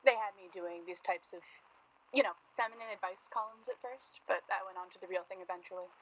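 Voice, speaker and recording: A woman's voice: mid-range voice, fairly quick, a bit bright, muffled recording, a little hiss or hum, lightly acted. A woman playing a guarded, polite young journalist in a naturalistic radio drama, speaking with reserve and some hesitation. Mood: wistful. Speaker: actor in a radio play.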